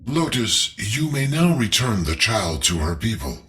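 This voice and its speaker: An actor playing a sly, principled rebel leader in a video game, wry and pointed in manner. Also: male voice, lowish voice, big pitch swings, unhurried, a bit bright, soft background music. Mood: earnest, challenging. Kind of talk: video game character acting.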